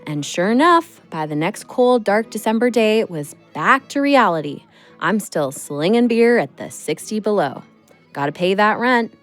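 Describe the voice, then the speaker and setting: Give this woman's performance of a young barmaid in an audio play an animated, swooping voice. She talks in a colloquial, sassy, expressive way, like someone who answers back. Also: medium pitch, moderate pace, faint music behind, close to an everyday voice. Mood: confiding, troubled. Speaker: narrator and lead character. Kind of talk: audio drama acting.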